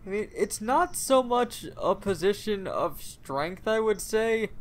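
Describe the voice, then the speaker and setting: A man co-hosting a casual podcast, giving his opinions in a measured, analytical way. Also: high-pitched, animated. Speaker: podcast co-host. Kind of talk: casual panel discussion.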